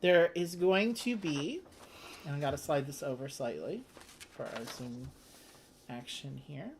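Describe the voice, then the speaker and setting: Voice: mid-range voice, expressive, unhurried, vocal fry, a little hiss or hum. A host casually teaching and playing through a board game, chatty and informal, with enthusiastic asides.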